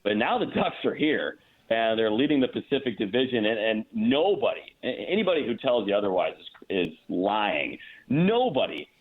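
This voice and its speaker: A man with a lowish voice speaks over a thin, band-limited phone connection. He sounds like a sports broadcaster guesting on a show, giving conversational hockey analysis. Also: big pitch swings, normal speed. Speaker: sports play-by-play announcer, guest. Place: remote call into a radio show. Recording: tinny, narrow-band phone line.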